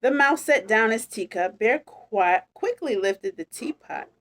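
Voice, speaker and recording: Woman, storytime reader, higher-pitched voice, very lively intonation, expressive read-aloud, chatty asides. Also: unhurried. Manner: animated, chatty, savouring phrases.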